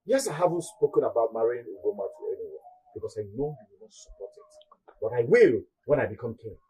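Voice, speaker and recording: An actor with a Nigerian accent playing a proud young prince, defiant and emphatic, in a royal drama. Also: male voice, mid-range voice, sing-song, quick pace, mellow, low-quality recording. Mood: resentful, defiant. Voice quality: very husky, raspy. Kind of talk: Nollywood royal drama acting.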